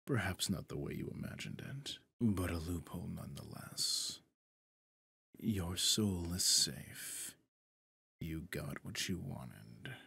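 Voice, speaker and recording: A possessive demon lord for an ASMR roleplay, performed by a man. His voice is very deep and gravelly, close and dry. He speaks with pompous, smug deliberation, sinking into breathy half-whispers. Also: expressive, slow, pushed and bright, dry recording, full character voice. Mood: smug, sly. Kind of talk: scripted ASMR roleplay monologue.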